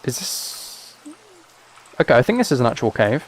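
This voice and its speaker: A man with a mid-pitched voice chats casually as he plays a game on a livestream. He rambles along, thinking aloud in an understated way. He speaks into a microphone set up in front of him. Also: sing-song, moderate pace. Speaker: live streamer playing a video game. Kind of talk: casual gameplay livestream chat.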